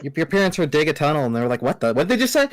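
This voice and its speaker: Twenty-something guy, talks fast and bouncy, trips over words, dry mic. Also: fairly high pitch, very animated, dry recording. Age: 20s. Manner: quick, stumbling, jokey. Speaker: stream guest. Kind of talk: casual chat.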